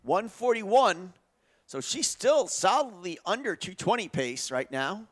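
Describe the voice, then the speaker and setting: A man's voice: sits high, sing-song, measured pace, fairly bright tone. A man giving live track race commentary in a conversational way that builds with the action.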